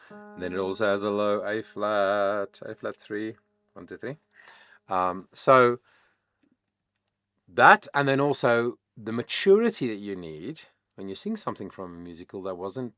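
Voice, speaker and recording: A man whose first language is Afrikaans, a vocal coach explaining singing in a casual, chatty way with many pauses and fillers. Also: on the low side, bright, forceful tone, no echo, studio quality. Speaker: vocal coach, channel host. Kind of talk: casual reaction-video talk.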